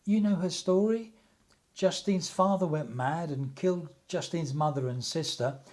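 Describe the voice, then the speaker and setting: A man's voice: a little high, unhurried, darkish tone, close to an everyday voice. A man playing an earnest, troubled young family friend in a radio play, grave and hesitant.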